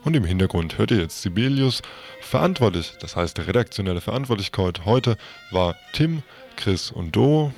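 A man's voice: on the low side, animated, moderate pace, darkish tone, soft background music. A man presenting a scripted report on the radio.

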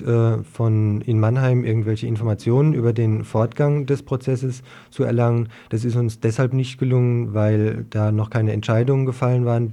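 A man's voice: mid-range voice, warm tone. A man presenting news on an informal community radio show.

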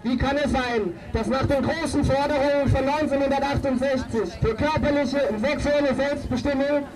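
A woman's voice: sits high, fairly even intonation, normal speed. A woman speaking as a feminist activist at an outdoor demonstration, delivering a combative, declamatory political speech. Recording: distant outdoor microphone, loud street noise.

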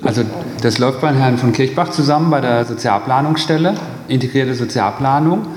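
A man's voice: mid-range voice, a little fast. A man answering as an official in a committee meeting, explaining things off the cuff. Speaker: city administration official. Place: large indoor meeting room. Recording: echoey meeting hall with clear background noise.

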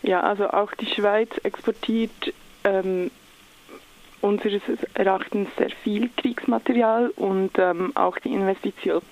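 A woman's voice: mid-range voice, steady tone, a little slow, a little mellow, low-quality recording. A woman with a Swiss German accent, speaking as an activist spokesperson in a radio interview, somewhat hesitant as she searches for words. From Switzerland.

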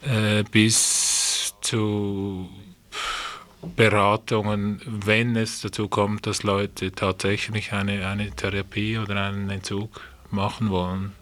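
A man's voice: lowish voice, little pitch movement, slow pace, fairly bright tone. A man giving explanatory answers in an interview as a member of a clinic team.

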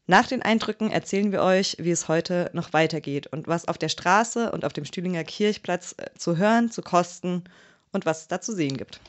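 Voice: mid-range voice, normal speed. A reporter presenting a scripted radio introduction.

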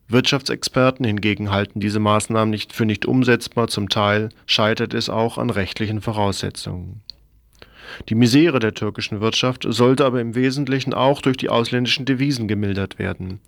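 Creaky-voiced man reading a radio report. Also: medium pitch, no echo, clean studio sound. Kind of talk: scripted radio news report.

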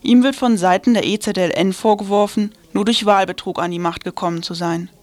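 A woman's voice: medium pitch, fairly even intonation, a little fast, dry recording. Woman reading radio news, dry sound.

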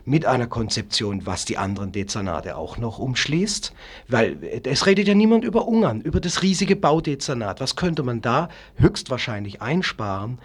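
A man's voice: mid-range voice, expressive, moderate pace, husky. A man in a spontaneous political discussion, speaking in an opinionated, emphatic way and often tagging statements with a questioning word.

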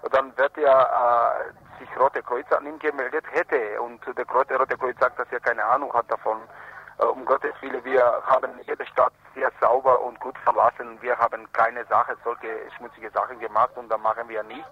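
A man who is not a native German speaker, giving a spontaneous report as an activist in a radio phone interview, halting and searching for words in broken grammar over a phone line. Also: mid-range voice, little pitch movement, husky. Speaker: activist spokesman for Roma protesters, radio phone-in guest.

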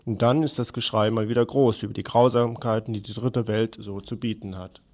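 A man presenting scripted radio news and commentary in a deliberate, sardonic manner. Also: on the low side, fairly even intonation, darkish tone.

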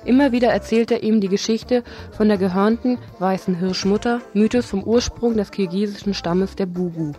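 A woman's voice: medium pitch, moderate pace, hardly any pauses. A woman presenting informal radio event listings, reading a text aloud and sometimes stumbling over the words. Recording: radio show over faint music bed. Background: soft background music.

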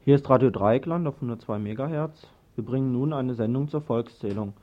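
A male radio host makes announcements at a middling pitch. The recording is dull and lo-fi, like an old 1980s broadcast. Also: brisk, faint music behind.

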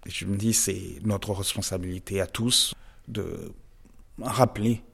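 Man, interview guest, measured, reflective, pausing, emphatic stresses. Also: low-pitched, gravelly, fairly bright tone, breathy edge. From DR Congo.

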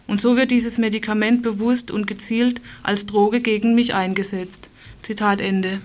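A woman's voice: medium pitch, steady tone, moderate pace. A woman radio presenter reading a written statement aloud in German news style.

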